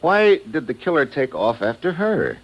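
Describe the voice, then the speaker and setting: An actor playing a tough, wry American investigator in a hard-boiled 1950s radio detective drama, blunt and slangy. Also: male voice, a little high, huge pitch swings, moderate pace, dull audio, heavily acted. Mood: probing, wry.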